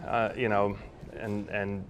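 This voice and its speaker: A man tells personal stories to a university audience. His voice sits low, and his telling rambles warmly with fillers and restarts. A faint hiss runs under the recording. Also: little pitch movement, takes it slowly. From Switzerland. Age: 40s. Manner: warm anecdotal storytelling with frequent fillers and restarts. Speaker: guest speaker. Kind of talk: storytelling lecture. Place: university lecture hall.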